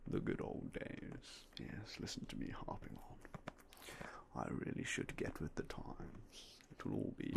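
A young man whispering softly and politely as a game shop clerk in an ASMR roleplay, close to a binaural mic. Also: extremely low pitch, sing-song, slow pace, vocal fry, slight background noise. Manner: quiet, whispery, polite shopkeeper patter.

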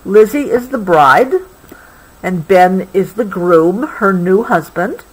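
A woman reads a children's picture book aloud. Her pitch moves in animated swings while the pace stays leisurely. She is patient and stops to clarify words as a parent would. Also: husky, rough recording. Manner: patient, explanatory, pausing to clarify. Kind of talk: expressive storybook read-aloud with explanatory asides.

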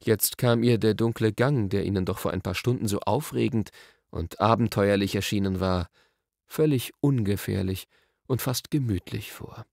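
A man reading a children's story as an expressive, scripted audiobook narration. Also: on the low side, moderate pace, gravelly, no room sound, clean studio sound.

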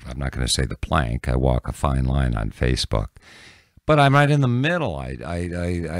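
Older guy w really deep gravelly voice, tv news guy chatting on a podcast, dry close sound. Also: darkish tone, no room sound. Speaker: local TV news anchor, podcast guest. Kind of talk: casual interview chat. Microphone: close studio podcast mic.

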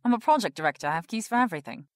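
An actress playing a prim, rule-bound research project director in an audio drama, formal and officious. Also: female voice, medium pitch, expressive, racing, close to an everyday voice. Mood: officious, defensive.

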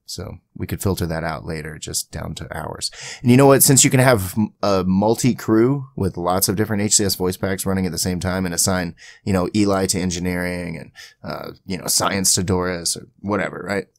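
A man giving a casual screen-capture software tutorial, thinking aloud informally as he goes. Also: low voice, fairly quick, vocal fry, no room sound. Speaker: tutorial host.

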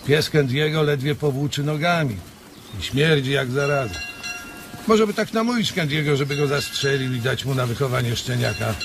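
A man's voice: mid-range voice, moderate pace, lightly acted. A man playing a jovial, blunt ranch hand in a radio drama, with a hearty manner. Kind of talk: Polish radio drama acting. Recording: noisy, outdoor birdsong behind.

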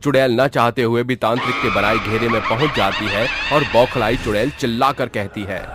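A man's voice: medium pitch, normal speed, very noisy. A man narrating a scripted story in a dramatic storytelling style.

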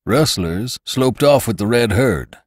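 A man's voice: medium pitch, expressive, slow pace, dry recording, studio quality, voice pushed up. A man voicing a loyal, earnest cowboy rider in a western audiobook, with plainspoken, folksy phrasing. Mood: matter-of-fact. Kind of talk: audiobook character acting.

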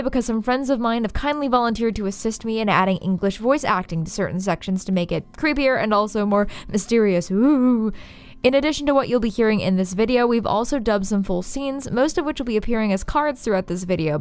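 A woman's voice: mid-range voice, clear, resonant tone. A woman hosting a scripted video essay, narrating in a wry, playfully sarcastic way. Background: faint background music.

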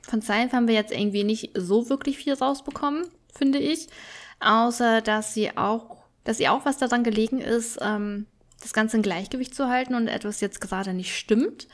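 A woman hosts a casual let's play. Her voice sits a little high, and she comments on the game as she goes. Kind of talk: casual game commentary, reading game dialogue aloud.

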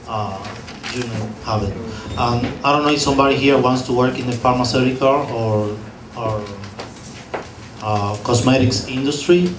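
A man's voice: mid-range voice, normal speed. A man in his thirties on a panel with a handheld mic, giving practical advice with hesitant fillers. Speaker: panelist, engineer. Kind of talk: panel discussion. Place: classroom panel table. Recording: distant echoey room with noise.

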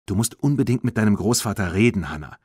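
A man voicing a spirited, kind young witch girl in an audiobook, lively and direct. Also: fairly low pitch, fast talker, breathy edge, no echo, studio quality. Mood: urging.

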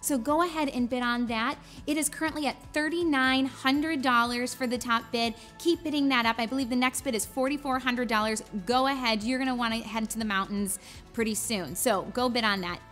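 A woman's voice: on the high side, a little fast, a bit bright, faint music behind. A woman in her thirties hosting a fundraising auction in a chatty, encouraging way, urging people on. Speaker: auctioneer and gala host. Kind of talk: live fundraising auction presenting. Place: studio set.

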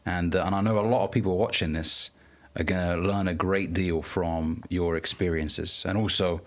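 A man hosting a conversational podcast interview, speaking in an earnest, measured manner with some hesitant phrasing. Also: low-pitched, fairly even intonation, fairly bright tone. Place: podcast studio.

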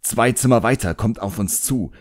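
A low-pitched old imp servant, performed by a male audiobook reader, recorded close and dry. He is cheeky and put-upon, grumbling in slang and forever stalling. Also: moderate pace, no room sound, lightly acted. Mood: urgent, cheeky.

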